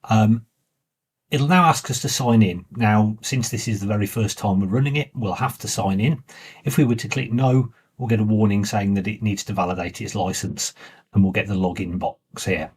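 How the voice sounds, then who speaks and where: A man giving a plain, informal step-by-step walkthrough as the presenter of a software tutorial. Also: lowish voice, moderate pace, slightly rough. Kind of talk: step-by-step screen walkthrough narration.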